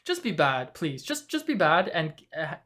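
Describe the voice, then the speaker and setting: A man making an anime reaction video, excitable and talking to his viewers, hoarse from screaming. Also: fairly high pitch, normal speed, a little hiss or hum.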